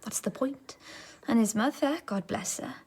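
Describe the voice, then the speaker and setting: An actress playing a motherly village midwife in a radio drama, speaking in a hushed, confiding manner. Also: on the high side, unhurried, husky. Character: motherly village midwife and foster carer, discreet, indignant.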